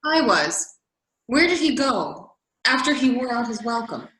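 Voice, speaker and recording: Casting: actress for bossy, probing woman, highish and very animated, stage-reading comedy, roomy echoing sound. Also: measured pace. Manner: insistent, emphatic. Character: exacting, inquisitive woman, bossy coach of storytelling. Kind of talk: readers theater dialogue.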